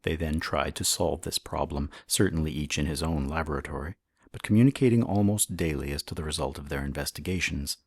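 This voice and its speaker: Very deep, husky male narrator. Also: no echo, studio quality. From Canada. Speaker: audiobook reader. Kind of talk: formal scripted narration, reading a historical science text.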